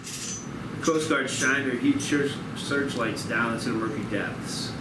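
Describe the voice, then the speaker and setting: A man's voice: medium pitch, measured pace. Man narrating a screenplay, echoey room. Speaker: stage-direction reader. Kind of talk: screenplay table-read narration. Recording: echoey noisy room, far from mic.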